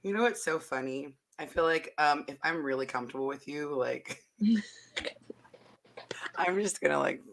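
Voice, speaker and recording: A woman co-hosting a casual podcast chat, candid and animated, her voice a bit hoarse from having partly lost it. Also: deep voice, normal speed, pauses often, a little hiss or hum. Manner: animated and candid, uses "like" a lot. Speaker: podcast co-host.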